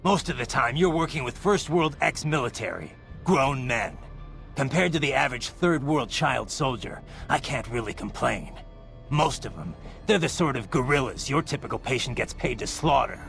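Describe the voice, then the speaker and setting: A grim video game cyborg soldier, with a low voice full of gravel. He is terse and brooding, yet his pitch swings dramatically between growl and bark. Music sits faintly behind him. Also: lively intonation, moderate pace, fairly bright tone. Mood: hard, curt. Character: grim, brooding cyborg soldier, a hardened male former child soldier.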